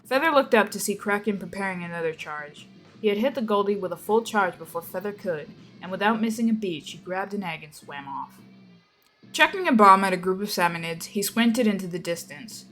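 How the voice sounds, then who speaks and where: A woman's voice: mid-range voice, animated, brisk, a bit bright, low-quality recording. Woman narrating a story over music. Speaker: narrator of a fan fiction reading. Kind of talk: dramatic scripted narration.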